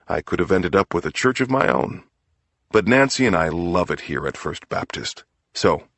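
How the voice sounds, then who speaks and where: Man playing a deep, folksy, pious family man. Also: fairly bright tone, husky, no echo, full character voice. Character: smooth, self-righteous family man, a preacher's son.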